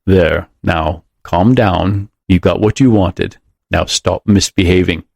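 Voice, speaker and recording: A man voicing a big, mysterious, gentle and polite tall man with a smooth, rich voice in an audiobook. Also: sits low, fairly even intonation, slow, dry recording, studio quality, heavily acted. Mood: amused, soothing. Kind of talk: audiobook character voice.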